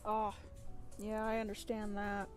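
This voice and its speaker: A woman's voice: mid-range voice, completely flat delivery, a little slow, soft background music. An American woman streaming and talking casually about voice acting, rambling and playful, often correcting herself. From United States. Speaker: streamer and voice actor. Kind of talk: casual livestream chat.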